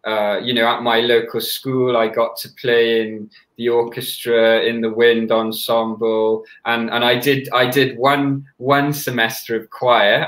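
Young welsh guy on a headset, flat delivery, lots of ums, roomy sound. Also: medium pitch. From Wales. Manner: soft-spoken, many fillers and restarts. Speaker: orchestra conductor, guest. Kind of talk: casual interview chat. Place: small room at home. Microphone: headset on a video call.

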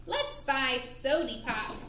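A woman's voice: sits high, very animated, slow pace, bright, forceful tone, full character voice. A woman voicing a carefree little pig in a children's puppet show, exclaiming theatrically. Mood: cheerful. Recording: roomy echo with faint background noise.

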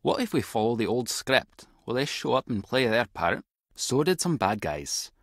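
Husky, wry Scottish spy. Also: male voice, mid-range voice, big pitch swings, normal speed, no room sound, clean studio sound. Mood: wry, explanatory. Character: wry, clever male tech-savvy spy agent. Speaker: video game voice actor. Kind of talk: scripted adventure game dialogue.

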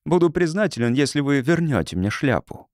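A man's voice: on the high side, sing-song, a little fast, a little mellow, dry recording, clean studio sound, voice pushed up. Man acting a tipsy, sceptical university man, swooping intonation. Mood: ironic. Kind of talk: audiobook character acting.

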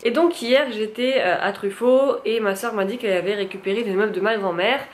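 Young woman vlogger, cold-stuffed nose, chatty and filler-heavy, arm's-length camera in a small flat. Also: mid-range voice, a little fast. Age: young adult. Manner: chatty, lots of fillers and repeated words, enthusiastic. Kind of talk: casual vlog chat to camera. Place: small bright apartment living room, indoors. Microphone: handheld camera at arm's length.

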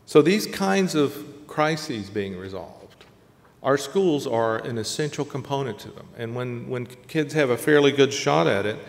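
A man's voice: mid-range voice, animated, unhurried, vocal fry. Older man lecturing in an auditorium. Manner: conversational, lists examples in short phrases, gestures with hands. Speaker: keynote speaker. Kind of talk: conference keynote lecture. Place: large dark auditorium stage at a lectern. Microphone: lectern microphone. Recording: reverberant auditorium sound.

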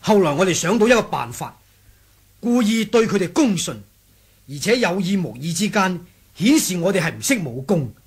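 A man's voice: high-pitched, very animated, normal speed, breathy edge, heavily acted. A man with a Hong Kong Cantonese accent playing a deferential rebel soldier reporting formally to his leader in a radio drama. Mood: grave, reporting. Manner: formal, storytelling, respectful. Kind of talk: 1980s radio drama acting.

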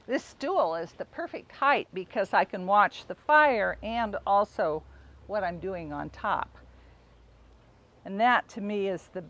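A middle-aged woman giving a casual, unhurried cooking demonstration, explaining informally as she works outdoors. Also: medium pitch. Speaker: home cook demonstrating a recipe. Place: outdoors in a backyard garden.

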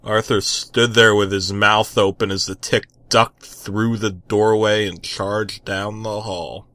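A man's voice: lowish voice, level tone, slow pace, pushed and bright, no room sound. A man narrating a comic story as a dramatic reading.

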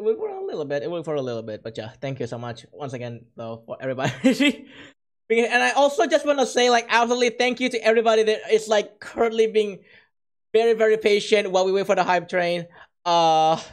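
A streamer who has talked far too long, the voice rough, hoarse and cracking at the edges. The delivery is excitable and gushing, with a self-mocking streak as they keep thanking viewers. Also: medium pitch, fairly quick. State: hoarse, losing voice. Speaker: livestreamer. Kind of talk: casual stream chat with viewers.

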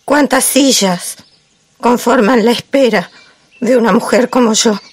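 A woman playing a melancholy, lonely wife in a poetic theatrical monologue, with a measured, reflective delivery. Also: a little high, slightly rough. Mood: melancholy, pensive. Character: melancholy wife recalling a silent, lonely marriage. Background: music.

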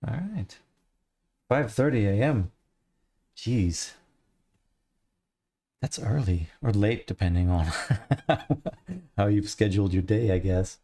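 A man in his thirties with an accent blending Australian, Irish and American, chatting in a relaxed, rambling way while he answers questions from his viewers. His voice sits fairly low and has a gravelly edge. The tone is mellow, and he talks into a headset mic in a home studio. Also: slow, long pauses. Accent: mixed Australian, Irish and American. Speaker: livestreamer, 3D artist. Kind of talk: casual livestream chat.

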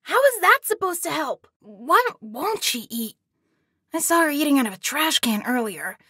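A young boy in a video game, scared yet defiant. The voice is high and childlike, urgent and plaintive with a snarky edge. The sound is close and dry. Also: big pitch swings, normal speed, pushed and bright, dry recording, exaggerated voice. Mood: grumbling, curious. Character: scared but defiant young boy trapped in a pizza arcade.

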